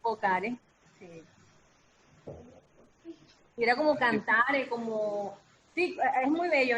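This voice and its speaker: A woman talking casually and reflectively as an artist, pausing and repeating words for emphasis. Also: a little high, slight background noise, poor audio. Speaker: voice artist, founder of an artistic collective. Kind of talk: casual reflective talk in an online discussion. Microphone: video call.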